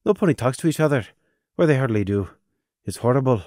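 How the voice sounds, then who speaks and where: A man's voice: mid-range voice, lively intonation, fast, a little mellow, dry recording, clean studio sound, natural voice. A man voicing a young stallion, an anxious brother who stammers and pleads for forgiveness. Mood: pleading.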